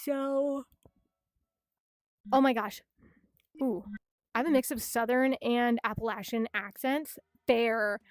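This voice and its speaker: A young woman with a Chicago-style Midwestern accent, raised in Minnesota and South Dakota, chatting quickly and swearing freely as a livestream host late at night from her bedroom. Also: fairly high pitch, steady tone, no echo, studio quality. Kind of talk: casual late-night stream chat. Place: hot bedroom at home.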